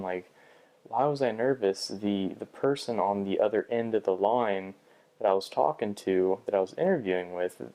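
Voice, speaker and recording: A man's voice: fairly low pitch, warm tone. A young man talking through a bad job interview in a personal vlog, hesitant, with frequent restarts and fillers. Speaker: vlogger.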